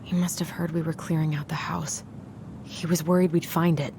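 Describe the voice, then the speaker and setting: Young American female, video game drama. Dry, sceptical, conversational, lower-pitched, with clear ambient noise. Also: little pitch movement, moderate pace. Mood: suspicious. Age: young adult. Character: young woman, wry, sceptical twin sister.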